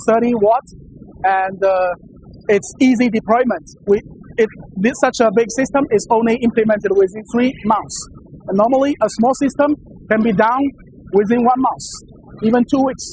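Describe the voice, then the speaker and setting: A man's voice: extremely high pitch, moderate pace, a little mellow, a little hiss or hum, poor audio. A man in his thirties with a Chinese accent, giving a guided tour of a large warehouse through a lapel mic, often repeating phrases and restarting his sentences. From China. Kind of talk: guided facility tour explanation.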